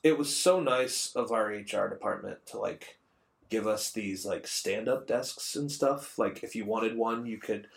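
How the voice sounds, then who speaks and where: A man's voice: fairly low pitch, unhurried, gravelly. A man of about thirty co-hosting a podcast in a rambling, conversational way. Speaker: podcast co-host. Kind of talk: casual chat.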